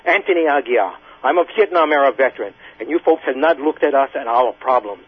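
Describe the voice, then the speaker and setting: A man's voice: medium pitch, animated, a little fast, breathy edge. A man in his late sixties calling in to a radio show, arguing angrily and insistently, with emphatic repetition. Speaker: radio call-in caller, Vietnam-era veteran. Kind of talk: impassioned call-in argument. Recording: tinny, band-limited radio phone line.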